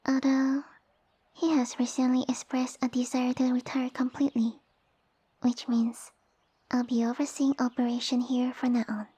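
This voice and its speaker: A woman voicing a small, sassy female lamia who rules her village, speaking softly and breathily with formal, imperious phrasing in an ASMR roleplay. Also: high voice, flat delivery, normal speed, no room sound. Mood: composed, authoritative. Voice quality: soft, breathy, whispery. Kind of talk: ASMR roleplay audio addressed to the listener.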